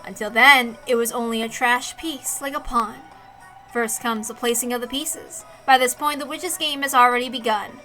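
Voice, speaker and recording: A woman with a fairly high, very bright voice reads a visual novel aloud for a stream. She gives the narration some drama and slips into casual asides, with faint game music playing behind her. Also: steady tone, normal speed, rough recording. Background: game soundtrack music.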